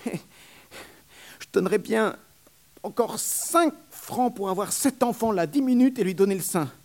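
A man's voice: animated, unhurried, a little mellow. A man voicing a suffering young wet nurse, pleading in a raised, breathless voice. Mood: yearning. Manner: high, plaintive, breathless pleading.

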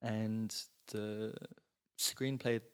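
A man's voice: on the low side, little pitch movement, very slow, clear, resonant tone. A man in his thirties who grew up in Italy, talking casually as an interview guest, thoughtful and full of hedges. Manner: thoughtful, hedging with "you know" and "like". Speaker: guest screenwriter.

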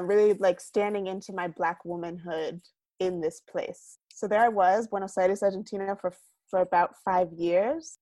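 A young American woman with a lowish voice speaks as an interview guest, thoughtful and searching. She pauses, restarts and lets her sentences trail off softly, recorded dry with no room sound. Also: no room sound. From United States. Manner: thoughtful, many fillers and restarts, trailing off quietly. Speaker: guest artist. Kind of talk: reflective interview talk.

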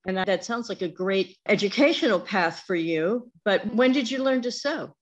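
A woman's voice: mid-range voice, lively intonation, normal speed, muffled recording. A woman hosting a conversational interview, asking questions and chatting with her guest.

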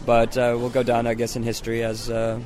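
A man answering interview questions in a thoughtful way, pausing now and then with small hesitations, at an outdoor cafe. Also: medium pitch, monotone, a little mellow.

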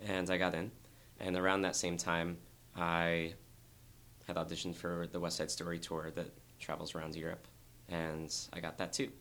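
A young man, a dancer, talking earnestly and thoughtfully in an interview, with a very low voice and frequent restarts. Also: completely flat delivery, moderate pace, a bit bright. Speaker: dancer guest. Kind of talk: reflective interview talk.

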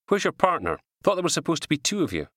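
A man's voice: on the high side, huge pitch swings, quick pace, a bit bright, no room sound, clean studio sound, pitched above the performer's own voice. Man playing a weary, matter-of-fact police detective. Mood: firm, weary. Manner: matter-of-fact, official, blunt. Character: weary, dry male police detective sergeant.

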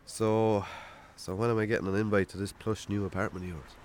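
An actor with an Irish accent playing a troubled, defensive, hard-drinking farmer in a radio drama, gruff, curt and sweary. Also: male voice, lowish voice, flat delivery, a little fast, darkish tone, lightly acted. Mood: friendly, casual. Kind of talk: naturalistic radio drama acting.

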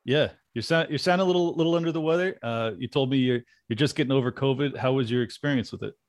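A man's voice: mid-range voice, dry recording, clean studio sound. Joking podcast host man. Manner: friendly, joking interviewer with quick laughs. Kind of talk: casual interview chat.

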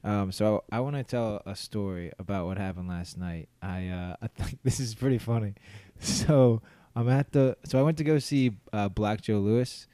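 Mellow-voiced young man rambling through a story. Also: fairly low pitch, normal speed, few pauses, dry recording. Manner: rambling storyteller, many fillers and restarts; laughs often, acts out his own quoted thoughts. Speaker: podcast co-host. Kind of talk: casual chat.

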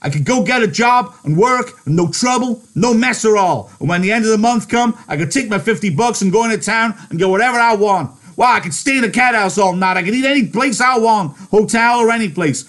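A man voicing a short-tempered, worn-out ranch hand who scolds gruffly and flares into shouting. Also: on the low side, close to an everyday voice.

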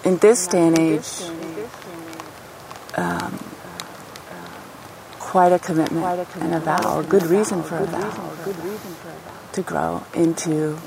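A woman giving an informal spiritual talk in an earnest, reflective manner, with halting phrasing. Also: fairly low pitch, creaky, low-quality recording. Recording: outdoor field recording with music.

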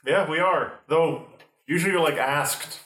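Distant, deadpan detective sidekick, played by an improviser. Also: medium pitch, measured pace, natural voice. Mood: deadpan, unsure. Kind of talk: improvised radio play acting. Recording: echoey room, distant from the mic.

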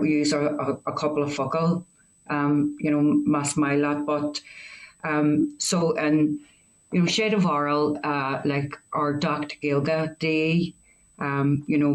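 A man speaking earnestly as a committee member, halting with restarts and fillers. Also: on the high side, low-quality recording. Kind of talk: committee meeting remarks.

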